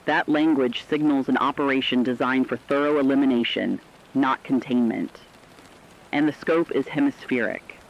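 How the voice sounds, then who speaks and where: Sober male field correspondent for radio drama, played by a man, medium pitch, measured emphasis, muffled broadcast audio. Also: lightly acted. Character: serious male radio correspondent in a fictional crisis. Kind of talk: scripted radio news report.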